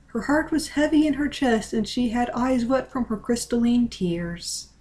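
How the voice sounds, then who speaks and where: A woman narrates a story aloud with a fairly high voice. She takes her time with each phrase, reading deliberately and dramatically. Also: a little mellow. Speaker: reader of a fan-fiction story.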